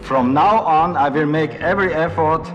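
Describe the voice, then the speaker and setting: A man making an earnest, deliberate statement as a conference organizer. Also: fairly high pitch, dull audio. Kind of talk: short statement. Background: background music.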